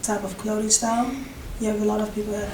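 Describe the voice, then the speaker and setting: A woman's voice: mid-range voice, level tone, fast. Young woman, distant and echoey. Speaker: podcast guest. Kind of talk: casual group discussion. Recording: echoey, distant, room noise.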